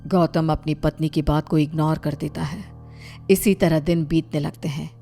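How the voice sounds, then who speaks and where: A woman narrator reads a scripted story. Her voice is low and stays even, with little movement in pitch. Music plays softly underneath. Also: moderate pace, gravelly. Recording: music behind the voice.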